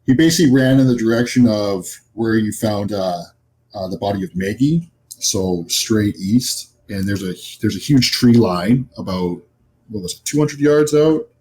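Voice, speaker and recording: A man acting as game master, casually describing scenes in a halting, conversational way. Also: lowish voice, darkish tone, slight background noise, poor audio.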